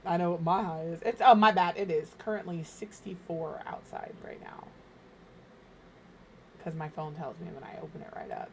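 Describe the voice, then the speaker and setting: Chatty streamer woman, slightly hoarse. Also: lowish voice, very animated, moderate pace, noisy background. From Minnesota. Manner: rambling, chatty, self-deprecating. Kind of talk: casual chat while gaming. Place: home.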